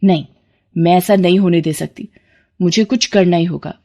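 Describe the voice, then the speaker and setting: A woman's voice: lowish voice, normal speed, warm tone, pitched below the performer's own voice. A haughty, rich mother-in-law of middle age, put on by a woman storyteller. She is scornful and melodramatic, a schemer from a family morality tale. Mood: scheming.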